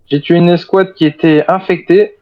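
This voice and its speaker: A man's voice: sits high, steady tone, moderate pace, narrow, tinny audio, lightly acted. A man playing an armed, wary but cooperative soldier survivor in an improvised zombie game roleplay, speaking formally and hesitantly. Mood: explaining, hesitant.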